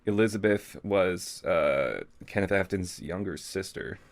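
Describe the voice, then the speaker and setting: A man's voice: deep voice, animated, a little slow, gravelly. A man reading a story aloud in a casual, comedic way, with mocking asides and self-corrections. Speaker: podcast host reading fan fiction aloud.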